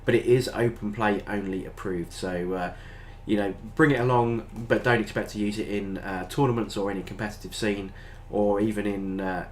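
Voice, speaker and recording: An older British man talks casually to his viewers, his voice sitting a little low. A head cold leaves him nasal and stuffy, and he rambles along with plenty of ums and uhs. A faint hiss sits under the recording. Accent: British English. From Britain. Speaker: tabletop gaming channel host. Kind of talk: casual review talk to camera.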